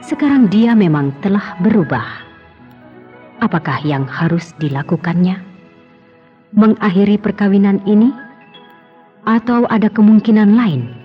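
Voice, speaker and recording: A woman's voice: mid-range voice, a little slow, pauses often. A woman narrator giving dramatic scripted narration for an Indonesian radio serial. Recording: dull lo-fi radio drama, music behind.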